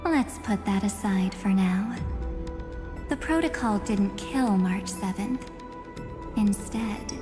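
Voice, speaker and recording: A woman voicing a composed, enigmatic guardian in a video game, speaking in a measured, cryptic, formal way. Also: mid-range voice, natural voice. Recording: long reverb, music behind.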